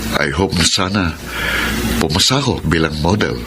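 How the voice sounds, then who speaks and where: A man's voice: low voice, animated, moderate pace, very noisy. A man playing an emotional young boyfriend in a Filipino radio drama, with a Filipino accent. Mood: modest, hesitant. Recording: loud music behind radio drama voices.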